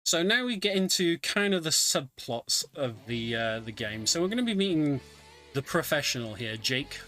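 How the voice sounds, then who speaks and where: Man, bright forward voice, slightly high pitch, laid-back gameplay commentary, hesitant pauses, music behind. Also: sing-song. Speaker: video game speedrunner commentating. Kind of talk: live gameplay commentary, casual. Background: game music.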